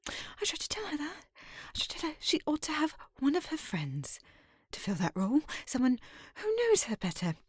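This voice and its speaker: A woman's voice: high-pitched, wildly swooping pitch, moderate pace, no room sound, studio quality. A woman playing a feisty, scheming servant in an audio drama, with a sassy, indignant, theatrical delivery. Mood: exasperated. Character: feisty working-class servant woman, scheming, possessive lover. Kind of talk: dramatic audio roleplay acting.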